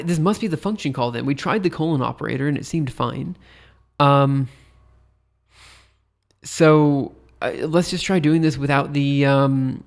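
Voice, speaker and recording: A tired man with a stuffy nose thinks aloud while live coding on a stream, rambling hesitantly and poking fun at himself. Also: medium pitch. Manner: rambling, hesitant, self-deprecating.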